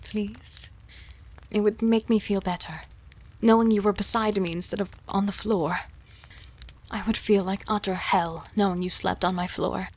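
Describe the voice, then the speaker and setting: An actress playing a caring, devoted young healer in a dark fantasy audio drama, speaking softly and intimately. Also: female voice, mid-range voice, steady tone, moderate pace, natural voice. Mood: gently insistent. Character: caring, soft-spoken young female healer, devoted and mysterious. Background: music with rain sounds.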